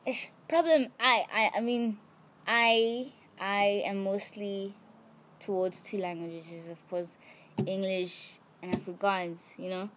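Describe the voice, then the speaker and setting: A young woman with a mid-pitched voice chats as a podcast guest, quick and animated with a giggly edge. Clear background noise and clicks run under her voice. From South Africa. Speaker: musician guest. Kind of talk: casual interview chat.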